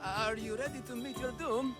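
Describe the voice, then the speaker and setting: Really high voiced jittery con man, stammery salesman, game cutscene with music behind. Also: fairly even intonation, normal speed. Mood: showy, nervous. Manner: hesitant, stammering salesman patter. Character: nervous, theatrical swindling huckster, a frightened father. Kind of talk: video game character acting.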